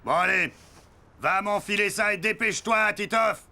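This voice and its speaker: A man playing a brisk, commanding male school sports teacher in an animated series. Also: high voice, noisy background. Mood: brisk, firm.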